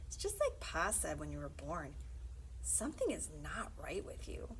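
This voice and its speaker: A woman's voice: sits low, wildly swooping pitch, a little slow, a little hiss or hum, pitched below the performer's own voice, full character voice, rough recording. A woman voicing a bossy young female mouse, an exasperated big sister in a children's storybook, speaking in an emphatic, instructing way. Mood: baffled, indignant.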